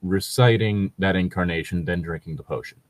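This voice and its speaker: A man's voice: low-pitched, a little fast, no room sound. A man reading aloud and commenting casually, in an informal, sweary way as he reacts to the text. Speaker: co-host of a fan fiction reading show.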